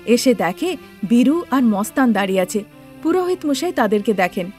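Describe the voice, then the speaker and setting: A woman's voice: fairly high pitch, sing-song, normal speed, darkish tone. A woman narrating a scripted cartoon story as a storyteller. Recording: music bed under the voice.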